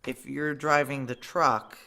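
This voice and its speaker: A woman's voice: very deep voice, steady tone, slow, bright, forceful tone, dry recording, heavily acted. A woman playing a guarded, brooding woman on the run who narrates a noir audio drama in a terse, wry, confiding way. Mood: businesslike.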